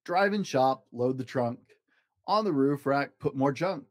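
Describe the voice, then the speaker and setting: Guy reading as a happy careful toad from a picture book, sing-songy, pitch bouncing around. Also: lively intonation, a little slow, natural voice. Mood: carefree. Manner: sing-song, rhythmic. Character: cheerful, careful toad who loves driving. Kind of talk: children's storybook read-aloud.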